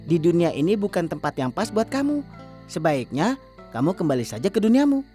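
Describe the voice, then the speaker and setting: An actor voicing a nervous, kindly sidekick in a cartoon, expressive and exclamatory. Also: medium pitch, brisk, lightly acted. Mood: gentle. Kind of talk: exaggerated cartoon dub. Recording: music behind the dialogue.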